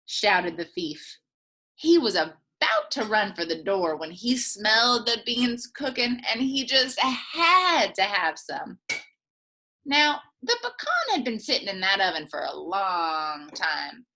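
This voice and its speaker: A woman reading a children's picture book aloud in a playful, expressive way, as a teacher does for young listeners. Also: medium pitch, slow pace. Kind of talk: animated children's storybook read-aloud.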